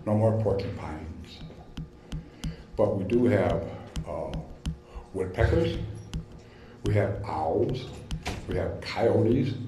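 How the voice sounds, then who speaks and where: Older male resident, Midwestern American, folksy and rambling at a public hearing, echoey hall podium sound. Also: sits low. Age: 60s or older. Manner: folksy, rambling, with halting pauses. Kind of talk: informal public comment speech. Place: large indoor school cafeteria-style hall. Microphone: microphone on podium, recorded in room.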